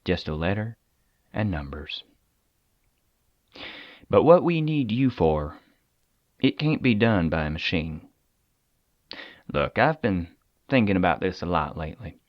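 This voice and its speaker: An actor playing a weary, persuasive government agent in an audio drama, talking conversationally with deliberate dramatic pauses. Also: male voice, low-pitched, animated, dry recording, highs cut off. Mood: regretful, bitter, then persuasive, solemn. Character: weary, persuasive male agent of a secret containment agency.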